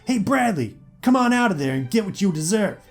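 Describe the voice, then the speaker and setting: A man's voice: medium pitch, a little fast, darkish tone, lightly acted. A man voicing a big, jealous, taunting ninth-grade bully in an audio story with music behind. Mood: threatening. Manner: taunting, menacing.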